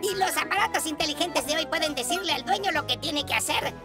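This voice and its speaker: A woman's voice: high voice, fast talker, over loud music, lightly acted. A woman voicing an instructive, orderly cartoon teacher who explains things didactically in a children's educational dub. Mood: explanatory.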